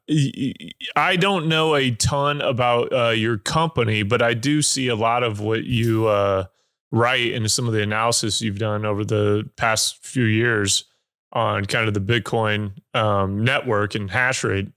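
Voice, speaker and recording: Bright-voiced podcast host man. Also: fairly low pitch, fairly even intonation, a little slow, dry recording, studio quality. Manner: loose and rambling, many filler words. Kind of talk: casual interview chat.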